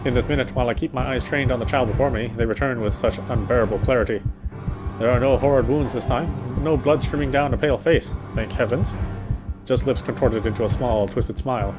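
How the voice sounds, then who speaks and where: A man streaming a game, reading its text aloud as measured, dramatic narration with occasional stumbles. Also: medium pitch, low-quality recording. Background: game music.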